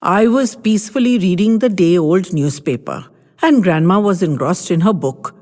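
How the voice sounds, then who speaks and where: A woman voicing an old grandfather who cuts in with a rumbling, teasing tone. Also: animated, normal speed, a little mellow, lightly acted. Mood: gruff. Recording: long hall reverb.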